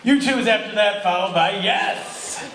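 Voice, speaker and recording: Casting: male performer as dry-witted, genial radio producer, high-pitched, comic sketch banter, noisy live room. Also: moderate pace, a bit bright. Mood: matter-of-fact. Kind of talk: old-time radio comedy sketch banter. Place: large hall, live amateur stage show. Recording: echoey hall, clear background noise.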